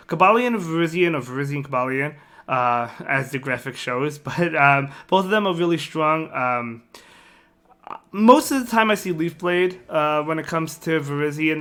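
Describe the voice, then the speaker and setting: A sick man with a hoarse voice hosting a casual game strategy video, rambling with lots of fillers and repeated words. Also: fairly high pitch, a bit bright. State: sick, partly lost voice. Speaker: gaming channel host.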